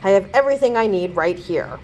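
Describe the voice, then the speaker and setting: A woman's voice: mid-range voice, expressive, brisk, darkish tone, dull audio, a little hiss or hum, lightly acted. A woman reading the lines of an easygoing, patient fifth-grade boy in a children's storybook, good-natured and a little resigned.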